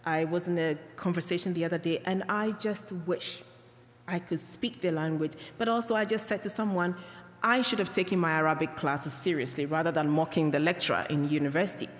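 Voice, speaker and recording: Ghanaian woman speaker, lowish voice, earnest and reflective, church hall reverb. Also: steady tone. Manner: earnest, reflective, with halting restarts. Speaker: peacebuilding speaker. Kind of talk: talk with Q&A answers.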